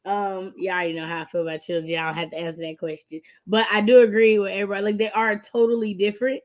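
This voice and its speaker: A woman with an American accent hosting a book readalong stream in an animated, colloquial, emphatic way. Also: medium pitch, racing, lo-fi sound. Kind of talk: casual livestream chat.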